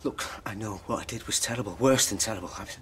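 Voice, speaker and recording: An actor in a radio drama playing an apologetic, evasive estranged husband, pleading hesitantly and repeating himself. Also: male voice, lowish voice, quick pace, a bit bright, a little hiss or hum, close to an everyday voice. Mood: contrite.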